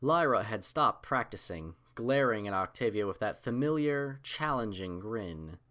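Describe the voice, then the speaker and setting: Lowish-voiced man narrating a story. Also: lively intonation, unhurried, a bit bright. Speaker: audiobook narrator.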